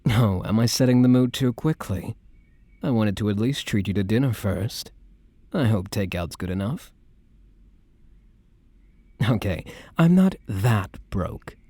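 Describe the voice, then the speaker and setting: Man acting as a mellow, low, teasing boyfriend. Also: animated, unhurried, pauses often, no echo. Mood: warm, suave. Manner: soft-spoken, teasing, wry, casual. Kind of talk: boyfriend ASMR roleplay, intimate scripted acting.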